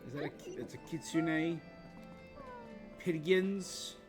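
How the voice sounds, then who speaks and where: A man's voice: fairly high pitch, slow pace, music in the background. A man streaming a game live, reacting casually and musing in tentative questions and fragments.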